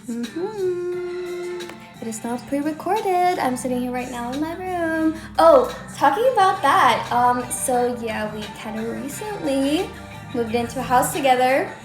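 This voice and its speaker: A woman's voice: high voice, expressive, unhurried. A young woman from Sweden with a light Swedish accent, chatting casually on a livestream from her own room, answering comments with lots of "like" fillers. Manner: chatty, bubbly, many "like" fillers. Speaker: livestream host. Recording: roomy echo with faint music.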